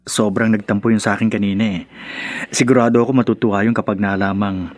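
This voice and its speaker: An actor playing a devoted, placating young husband and son in a Filipino radio drama, hesitant and coaxing, with stammers. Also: male voice, fairly low pitch, flat delivery, fast, no room sound, natural voice.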